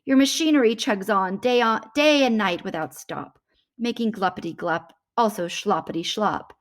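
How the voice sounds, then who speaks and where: A woman voicing a grumpy, scolding old male forest creature with a croaky voice in a rhyming storybook. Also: mid-range voice, normal speed, natural voice. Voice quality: croaky, coughing. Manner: scolding, exclamatory rhymed complaints.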